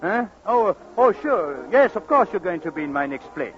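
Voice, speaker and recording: An actor with a French accent playing a suave, excitable, gallant playwright in 1940s radio comedy style. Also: male voice, sits high, moderate pace. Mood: charming. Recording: dull vintage radio recording.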